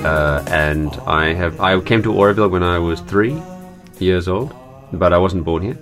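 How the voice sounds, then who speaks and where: Man from Australia, very low voice, halting and unhurried, faint music behind. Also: a bit bright. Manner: hesitant, many pauses and fillers. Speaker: children's theatre workshop leader. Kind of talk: casual personal account.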